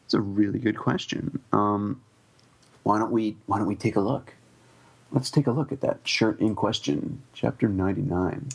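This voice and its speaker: Gravelly low-voiced man on a podcast. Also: fairly even intonation, moderate pace. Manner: hedging, thinks aloud with many ums. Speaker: podcast co-host. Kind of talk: casual chat.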